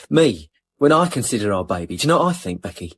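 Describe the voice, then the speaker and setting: A cocky young-family crook in a radio crime drama, forever justifying himself. The voice is raspy and animated, wheedling and boastful by turns. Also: male voice, medium pitch, fairly quick. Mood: self-justifying. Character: cocky, self-justifying small-time male criminal, expectant father.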